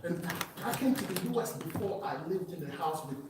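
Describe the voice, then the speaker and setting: A man's voice: high voice, lively intonation, fairly quick, noisy background. A man from Cameroon, giving an earnest, emphatic personal testimony speech at a meeting. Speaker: public speaker. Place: meeting room. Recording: echoey hall, distant mic.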